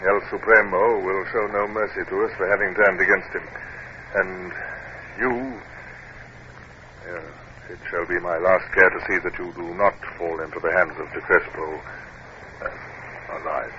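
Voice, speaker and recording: An actor playing a formal, reserved English naval captain in a 1950s radio drama, courteous in a stiff, awkward way. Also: male voice, low voice, little pitch movement, measured pace, long pauses. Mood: grave. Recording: dull, noisy 1950s radio recording.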